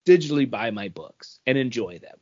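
Animated man chatting, dull sound. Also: medium pitch, brisk. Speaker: co-host of a comics review show. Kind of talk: casual chat, comic book review. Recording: dull lo-fi audio.

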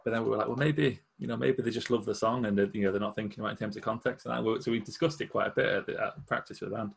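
A male host with a low, gravelly voice and a husky edge, racing through his stories. He talks casually and breaks into laughs often. He chats easily with his guest. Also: fairly even intonation. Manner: quick anecdotal storytelling, laughs often. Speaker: musician. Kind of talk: casual chat.

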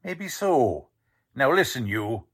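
An actor playing an impatient, sarcastic, tough-talking police detective in a 1940s-style radio drama. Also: male voice, mid-range voice, sing-song, slow, fairly bright tone, husky, heavily acted.

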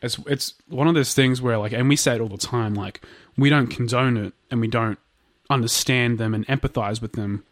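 A man's voice: medium pitch, normal speed, no echo, studio quality. A man co-hosting a casual true-crime podcast, a bit nasal from a blocked nose, rambling with lots of fillers and restarts. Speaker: podcast co-host.

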